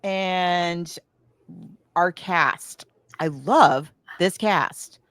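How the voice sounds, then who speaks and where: A woman's voice: lowish voice, drawn-out words, fairly bright tone, no echo. A woman co-hosting a podcast, chatting casually about films in a chatty, emphatic way. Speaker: podcast co-host. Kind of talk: casual film discussion chat.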